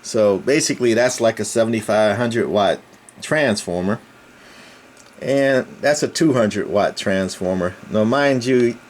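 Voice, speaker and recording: A man's voice: fairly low pitch, a little slow. Man giving informal demo over noisy background. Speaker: channel host. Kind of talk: informal hands-on technical demo. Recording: clear background noise, room ambience.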